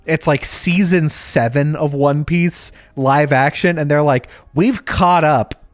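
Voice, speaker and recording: A man's voice: fairly high pitch, slow pace, dry recording. A man co-hosting a podcast in a laid-back, joking way. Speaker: podcast co-host. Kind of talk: casual chat.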